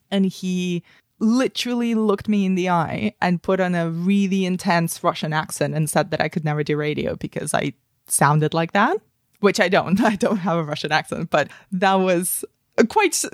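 A woman's voice: on the low side, dry recording. Woman from spain, 30s, podcast guest, rambly and reflective, lots of kind of, dry mic. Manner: thoughtful, many restarts and fillers like "kind of". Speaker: guest, film industry professional. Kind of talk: podcast interview, reflective storytelling.

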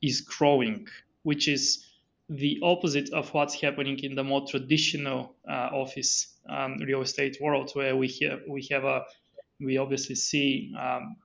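A man's voice: medium pitch, flat delivery, unhurried, vocal fry, a bit bright, highs cut off. A man, a company founder guest on a podcast interview, talking with many fillers and restarts. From Bulgaria.

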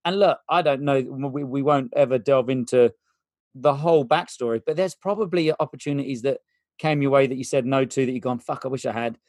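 A man's voice: medium pitch, fairly quick, a little mellow, no room sound, studio quality. A man hosting a podcast, asking long, winding questions with lots of fillers. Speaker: podcast host. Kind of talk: casual interview chat.

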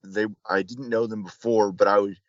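A man's voice: fairly low pitch, fairly even intonation, normal speed, no echo. A man hosting a casual interview, speaking hesitantly as an admiring fan, on slightly dull audio. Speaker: podcast host, interviewer.

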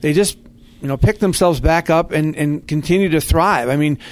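A man's voice: a little high, normal speed, no echo. A man hosting a sports podcast in a conversational radio style, speaking earnestly and with complimentary phrasing.

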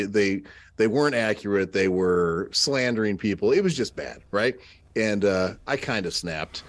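A man's voice: fairly low pitch, no echo. A man co-hosting a casual podcast, teasing and joking, telling stories with fillers. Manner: jokey, teasing, storytelling with laughs and fillers. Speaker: podcast co-host.

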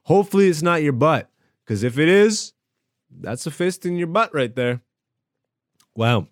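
Animated comedian riffing solo on his podcast. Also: on the high side, measured pace, no room sound, clean studio sound. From born in Greece. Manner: brash, irreverent, slangy, swearing freely. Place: home studio.

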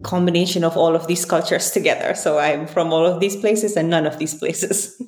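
A woman's voice: fairly low pitch, fairly even intonation, normal speed, darkish tone. Chatty woman in her thirties, roomy echo. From born in Ethiopia, raised in several countries. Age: 30s. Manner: laughs often, chatty and self-teasing. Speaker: podcast co-host. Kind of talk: casual podcast chat.

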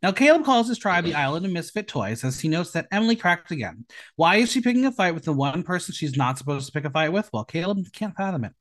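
Animated, higher-pitched man recapping with flair. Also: fast talker. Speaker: podcast host. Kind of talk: casual episode recap commentary with rhetorical questions.

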